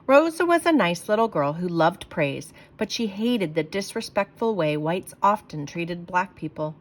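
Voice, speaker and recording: A woman reading a children's book aloud in a clear, expressive storytelling manner. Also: on the low side, measured pace.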